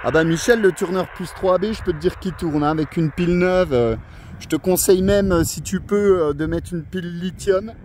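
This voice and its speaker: A man's voice: high-pitched, normal speed, a little mellow, slight background noise. A man chatting casually and hesitantly as a radio operator filming himself outdoors, friendly and rambling. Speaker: amateur radio operator filming his contact. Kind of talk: casual radio chat and outdoor vlog narration. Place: outdoors on a windy mountain valley spot. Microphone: handheld phone or camera microphone.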